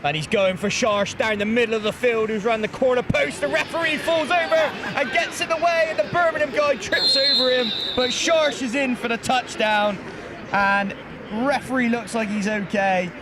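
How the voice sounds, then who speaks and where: A man calls a live football game with a high, bright voice, quick to joke and laugh. He is recorded outdoors with clear traffic and ambient noise running underneath the commentary. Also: a crowd in the background. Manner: lively, jokey play-by-play, laughs easily. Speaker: play-by-play commentator.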